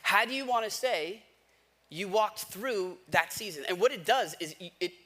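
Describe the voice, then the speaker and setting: Casting: American male pastor, high forceful voice, conversational sermon delivery, emphatic repetition. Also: expressive, normal speed. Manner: emphatic, repeats phrases for effect. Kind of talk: conversational sermon with rhetorical questions.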